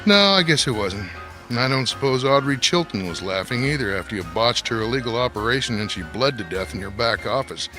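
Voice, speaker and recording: Actor playing a smug, sneering author with animated pitch. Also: male voice, a little fast, music in the background, close to an everyday voice. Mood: cruel, sardonic. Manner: sarcastic, theatrical, mocking. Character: smug, sneering male gossip author taunting old acquaintances. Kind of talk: radio mystery drama acting.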